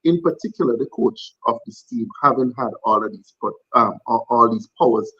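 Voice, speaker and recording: A man on a cricket panel, measured and earnest, stressing the same point several times. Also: mid-range voice, slightly rough, no echo, lo-fi sound. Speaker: cricket pundit. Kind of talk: panel discussion.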